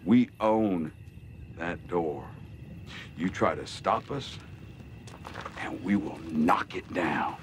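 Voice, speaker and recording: An actor with an American accent playing a smug, cruel middle-aged gang leader in a TV drama, drawling and mocking with deliberate pauses. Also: male voice, low-pitched. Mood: calm menace. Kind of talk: television drama villain monologue. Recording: TV drama clip with noticeable background noise.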